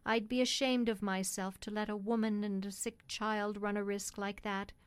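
A woman's voice: mid-range voice, flat delivery, moderate pace, clear, resonant tone, dry recording, natural voice. A woman as a hearty, protective male cab driver. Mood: kindly, protective. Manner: hearty, plain-spoken, protective. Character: kindly, firm working-class male London cab driver.

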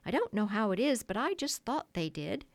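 An eager, naive little storybook boy, acted by a woman, bursting in with childlike excitement. The pitch is raised and jumps about in a lively way, in a very dry recording. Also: normal speed, no room sound. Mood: eager, curious.

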